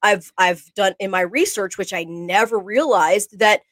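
A woman's voice: mid-range voice, a little slow, fairly bright tone, dry recording, studio quality. A woman co-hosting a casual podcast chat, rambling and often groping for words. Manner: rambling, hunts for words, many fillers. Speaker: podcast co-host.

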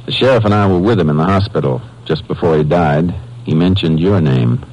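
An actor playing a steady, no-nonsense Texas Ranger in a radio drama, speaking in a terse, deliberate manner. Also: male voice, sits low. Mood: firm, probing. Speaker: lead actor and narrator. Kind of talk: 1950s radio drama acting. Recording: noisy, humming old radio recording.

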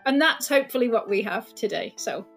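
A woman with a fairly high voice hosts a podcast, speaking in a measured, welcoming manner. She introduces each guest at length. A faint guitar plays underneath her. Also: animated, creaky, fairly bright tone. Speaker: podcast host, senior lecturer. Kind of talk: friendly podcast hosting.

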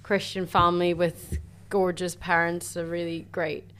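A young woman from Ireland, a university student telling her faith story in chatty, warm casual talk full of "sort of" and "like". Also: fairly low pitch, little pitch movement, measured pace, clean tone. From Northern Ireland. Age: young adult. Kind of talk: casual faith testimony chat.

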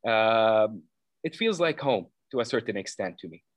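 A man in his thirties from Lebanon, answering interview questions earnestly and at length, with many fillers and quick self-corrections. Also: on the low side, expressive, no room sound, clean studio sound. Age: 30s. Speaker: program founder interviewed as guest.

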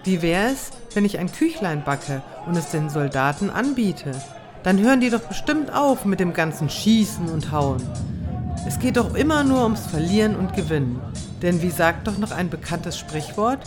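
A woman playing a naive, gentle, homely fair maiden in a comedic fantasy audio drama. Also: fairly low pitch, animated, normal speed, over music, lightly acted. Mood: earnest, naive.

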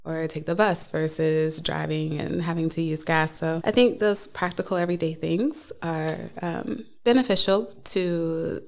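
A woman from the United States, a guest on a studio podcast answering questions thoughtfully, often laughing and pausing with uh. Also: low-pitched, steady tone, vocal fry. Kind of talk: casual interview answers.

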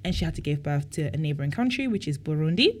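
A woman in her twenties from Belgium, chatting casually as a guest, animated and full of fillers and quick asides. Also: sits low. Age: 20s. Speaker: interviewee. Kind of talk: casual chat.

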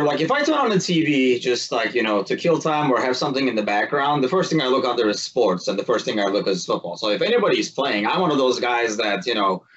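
A man's voice: mid-range voice, fairly bright tone, dull audio. A man chatting casually and informally in a rambling way, as a panelist on a sports podcast. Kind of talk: casual chat.

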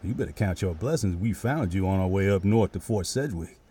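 A man playing a deep, mellow, weary cavalry sergeant. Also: animated, fairly quick, a little hiss or hum. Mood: weary, frank. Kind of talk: western audio drama acting. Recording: music behind the voice.

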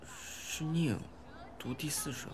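An actor playing a timid, introspective male high school student in an audio drama, speaking gently and hesitantly. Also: takes it slowly, some chatter behind, slight background noise. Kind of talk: audio drama acting and inner narration.

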